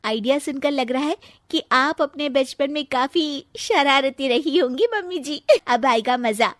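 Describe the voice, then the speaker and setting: Woman as a very high-pitched timid young sister-in-law. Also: a little slow, fairly bright tone, dry recording, studio quality. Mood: mischievous. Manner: whiny, pleading, dramatic. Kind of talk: dramatic cartoon family story voicing.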